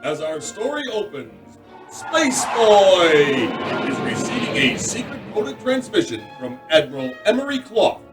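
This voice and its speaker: A man playing a dramatic announcer of a children's space adventure radio serial, with a grand, drawn-out theatrical delivery. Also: a little high, big pitch swings. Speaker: announcer and narrator. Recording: roomy, distant, loud music underneath.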